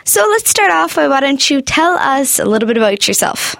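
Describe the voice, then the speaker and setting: A woman's voice: high-pitched, very animated, fairly quick, vocal fry, fairly bright tone, dry recording, studio quality, faint music behind. A woman hosting and interviewing on a community radio show.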